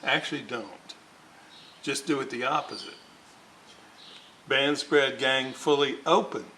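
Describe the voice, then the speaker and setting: A man giving a casual hands-on repair walkthrough, halting and muttering to himself as he works. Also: mid-range voice, bright, forceful tone, slight background noise. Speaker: hobbyist radio restorer, presenter.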